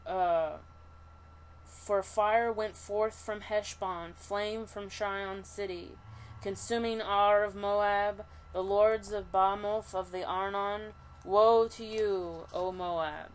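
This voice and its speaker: A woman with a self-described monotone voice, leading an online scripture study, reading passages aloud and talking them through with halting restarts. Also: mid-range voice, slight background noise, poor audio. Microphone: computer mic for an online session.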